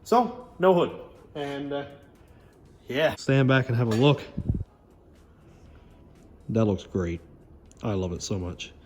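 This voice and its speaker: A man's voice: mid-range voice, takes it slowly, long pauses, darkish tone, echoey room, slight background noise. A man chatting casually as he hosts a DIY car build vlog, thinking aloud and muttering to himself as he works.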